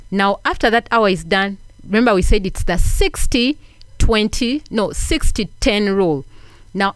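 A woman's voice: mid-range voice, clean tone, dry recording. A woman giving an earnest motivational talk, repeating points for emphasis and asking the listener questions. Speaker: self-help speaker.

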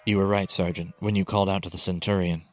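A man voicing a grave, level-headed scout in a fantasy audiobook, rumbling and thick, speaking in a somber, measured way. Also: steady tone, slightly rough. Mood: grave, regretful.

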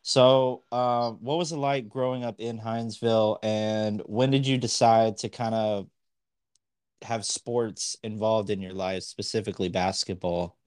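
A man's voice: fairly low pitch, steady tone, a little slow, darkish tone, clear, resonant tone. A young man hosting a sports podcast, asking interview questions in a loose, hesitant way, with "kinda" and "like" throughout. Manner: hesitant, loose phrasing with fillers. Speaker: podcast host.

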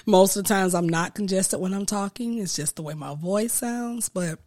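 A woman hosting a casual solo podcast, sounding congested and a bit nasal from a cold, talking slowly and earnestly with frequent ums. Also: medium pitch, no echo, studio quality. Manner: slow, earnest, with frequent ums and soft asides. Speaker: podcast host and business coach.

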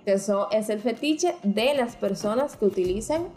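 A woman's voice: fairly high pitch, expressive, moderate pace, warm tone, echoey room. A woman co-hosting a podcast who explains topics frankly in casual chat, with many restarts. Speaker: podcast co-host.

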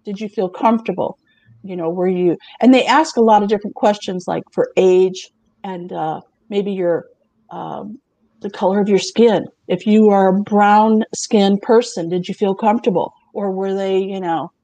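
Casting: middle-aged woman host, chatty, laughs easily, mellow warm tone, dry close sound. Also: medium pitch, moderate pace, no room sound. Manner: chatty, laughs often, asks the others questions. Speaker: host presenting remote job openings. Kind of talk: casual chat show presentation.

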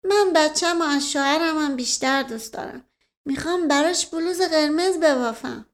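A woman's voice: sits high, pitched above the performer's own voice. A woman voicing a naive, obedient young bride with a simple childlike delivery in a storybook reading. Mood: childlike, earnest.

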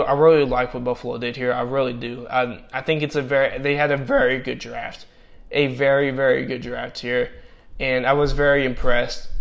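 Halting young man losing his voice. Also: mid-range voice, gravelly, muffled recording. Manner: halting, many fillers and restarts. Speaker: football draft analyst. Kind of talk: casual solo commentary. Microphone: personal microphone.

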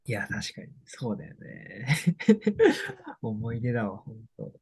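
A young adult man co-hosting a podcast, chatting casually about music in a relaxed way and laughing often. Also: on the low side, measured pace, breathy edge, dry recording. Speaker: podcast co-host.

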